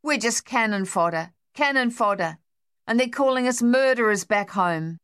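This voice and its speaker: A woman's voice: a little high, expressive, moderate pace, fairly bright tone, clear, resonant tone, pitched above the performer's own voice. A woman playing a shaken Australian soldier. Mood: desperate. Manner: shaky, pleading, rambling. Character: desperate shell-shocked young male Australian soldier.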